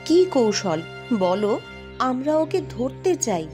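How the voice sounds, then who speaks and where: A woman's voice: a little high, sing-song, slow, dark tone, soft background music. A woman voicing an earnest, curious young village girl in a cartoon, speaking with wide-eyed emphasis. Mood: determined, hushing. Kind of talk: Bengali cartoon voice acting.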